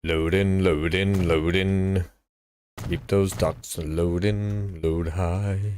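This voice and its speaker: Low-voiced man narrating his build. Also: very slow, a little hiss or hum. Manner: thinks aloud, relaxed, mumbles placement choices. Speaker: gaming streamer. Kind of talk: casual live-stream commentary while building.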